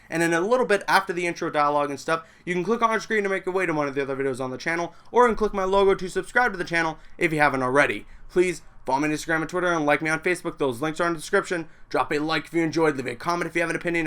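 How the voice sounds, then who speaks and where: A man's voice: fairly high pitch, a bit bright. A man hosting a casual gaming news video, enthusiastic and chatty. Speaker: gaming YouTuber. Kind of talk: casual game news commentary.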